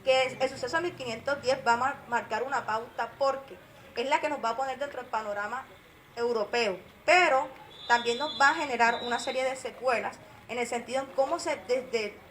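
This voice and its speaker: Woman giving a measured academic talk. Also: a little high, fairly bright tone, a little hiss or hum, poor audio. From Colombia. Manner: measured, explanatory. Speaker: academic speaker. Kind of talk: lecture at a forum.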